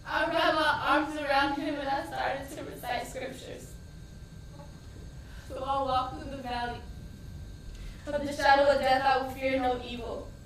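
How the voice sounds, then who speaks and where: A woman's voice: sits high, distant mic. An actress playing a grieving, devout woman in an emotional, impassioned dramatic stage monologue. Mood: praying. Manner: emotional, impassioned delivery, swinging from hushed to crying out. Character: grieving, pleading, devout woman recounting a shooting. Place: theatre stage, distant microphone. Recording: echoey stage recording from afar, faint noise.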